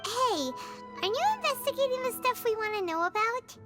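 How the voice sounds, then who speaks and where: A woman's voice: very high voice, expressive, normal speed, loud music behind the voice. A woman voicing a small, childlike female fairy companion in a video game, curious, nosy and blunt. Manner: exclamatory, blunt, questioning. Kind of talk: video game character acting.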